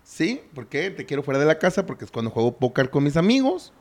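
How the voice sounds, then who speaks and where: A man's voice: fairly high pitch, big pitch swings, quick pace. A man with a Mexican accent co-hosting a casual, crude, joking movie recap chat, full of slang and rants. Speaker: podcast co-host.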